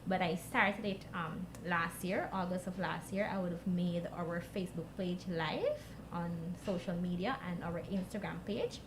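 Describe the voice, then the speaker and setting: Guyanese woman telling her story over clear noise. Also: on the low side, moderate pace, few pauses. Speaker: guest, youth mental health advocate. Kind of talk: personal storytelling in an interview. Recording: clearly noisy background.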